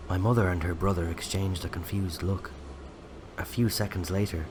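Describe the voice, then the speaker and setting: A man's voice: deep voice, a little hiss or hum, close to an everyday voice. An Irish man playing a narrator who recalls a night from his childhood, in scripted first-person storytelling.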